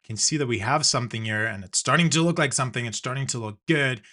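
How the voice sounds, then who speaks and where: A man's voice: medium pitch, quick pace, bright, forceful tone. A man from South Africa narrating a screen-recorded design tutorial, talking casually and walking through each step with the odd filler.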